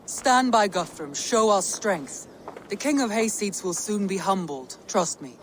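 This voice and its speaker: An actress playing a confident, loyal Viking woman commander who speaks in an assured, steady way, in a video game. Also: medium pitch, a little slow, a little hiss or hum, natural voice, rough recording.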